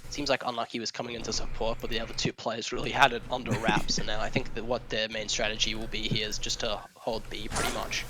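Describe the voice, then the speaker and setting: A man with an Australian accent giving quick, chatty play-by-play commentary on a game tournament stream. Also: on the low side, little pitch movement, heavy background noise. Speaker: esports caster.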